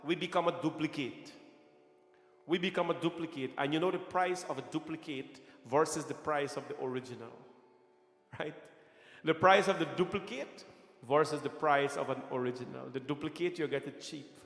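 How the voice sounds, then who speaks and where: A middle-aged Guyanese-accented man delivers an earnest sermon, repeating key phrases and tossing questions to the congregation. His voice sits a bit high for a man. It rings through a reverberant temple hall. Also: moderate pace, fairly bright tone. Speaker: temple pastor.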